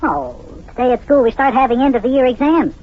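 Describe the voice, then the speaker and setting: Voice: high voice, steady tone, brisk. An actor voicing an eager, chatty little boy elf with a chirpy, polite manner in an old children's radio serial. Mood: eager, matter-of-fact. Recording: muffled, lo-fi old radio recording.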